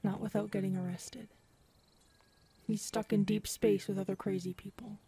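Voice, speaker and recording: A woman playing a big, earnest predatory alien woman in an intimate audio roleplay, soft and hesitant, often murmuring. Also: medium pitch, level tone, moderate pace, gravelly, breathy edge. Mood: earnest, wounded. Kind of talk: audio roleplay acting, addressed to listener.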